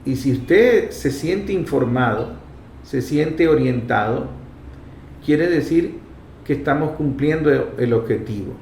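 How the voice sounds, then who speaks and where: A man's voice: medium pitch, lively intonation, slow pace, gravelly, darkish tone. A Venezuelan man hosting a political commentary program, speaking in an emphatic, didactic way and repeating key phrases. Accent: Venezuelan Spanish. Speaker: radio program host and commentator. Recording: roomy echo, faint background noise.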